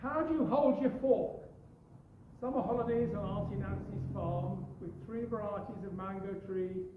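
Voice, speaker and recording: A man in his sixties with a posh English accent, reading his poetry outdoors in an animated, storytelling way. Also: high voice, echoey room, a little hiss or hum, low-quality recording. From East Africa. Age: 60s. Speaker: poet. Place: outdoors outside a cafe.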